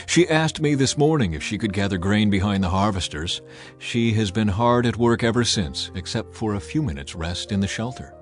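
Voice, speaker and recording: A man's voice: fairly low pitch, lively intonation, normal speed, soft background music, lightly acted. A man voicing a dutiful farm foreman in an audiobook, reporting plainly to his master. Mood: matter-of-fact.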